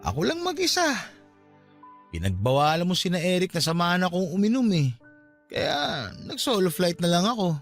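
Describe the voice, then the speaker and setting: An actor with a Filipino accent playing a wounded, drunken young boyfriend in a Tagalog radio drama, pleading and pushy. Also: male voice, high-pitched, wildly swooping pitch, normal speed, exaggerated voice. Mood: clingy, tipsy. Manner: pleading, insistent. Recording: dramatic music bed behind the voice.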